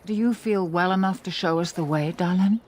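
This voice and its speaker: A woman playing a grave, commanding older elf healer who leads her clan in a fantasy game, speaking formally and with measured solemnity. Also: fairly low pitch, a little mellow, a little hiss or hum, lightly acted. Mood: worried, urgent. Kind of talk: fantasy game dialogue acting. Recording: music behind the dialogue. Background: background music.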